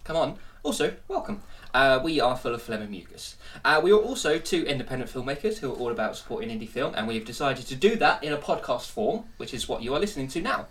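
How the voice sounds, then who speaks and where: A quick, jokey man hosting a film podcast, teasing and stuffy with a cold. He sits back from the mic, so his voice sounds a little distant. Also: mid-range voice, big pitch swings, a bit bright. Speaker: podcast host. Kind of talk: casual film-review podcast chat.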